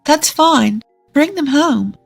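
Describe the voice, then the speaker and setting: A storyteller voicing a cheerful, kind young wife, gently teasing and reassuring. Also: high-pitched, animated, slow pace, gravelly, soft background music, pitched above the performer's own voice, exaggerated voice. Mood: gentle, reassuring.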